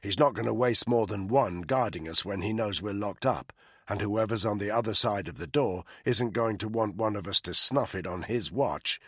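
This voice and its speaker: A man playing a hard-bitten male soldier who gives low, firm orders. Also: moderate pace, fairly bright tone, husky, no echo, clean studio sound. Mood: grim, commanding.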